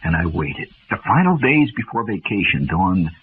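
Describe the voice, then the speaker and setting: A man's voice: sits low, big pitch swings, normal speed. An American man telling a comic first-person story for radio in a theatrical, mock-epic, dramatic manner. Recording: tinny old radio recording with noise.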